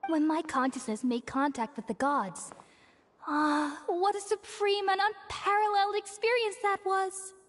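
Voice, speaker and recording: High-pitched, effusive young scholar. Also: female voice, steady tone, unhurried. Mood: elated. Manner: effusive, gushing. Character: young female scholar, effusive, devout, friendly. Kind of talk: video game character acting.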